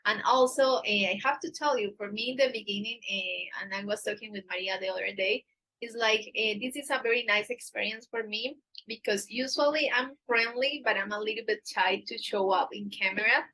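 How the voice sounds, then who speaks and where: A woman's voice: medium pitch, fairly even intonation, fairly quick, bright, forceful tone, rough recording. A Colombian woman with a Paisa accent, a native Spanish speaker, giving earnest advice on a live Q&A in careful English full of fillers. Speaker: dating agency staff on a live Q&A.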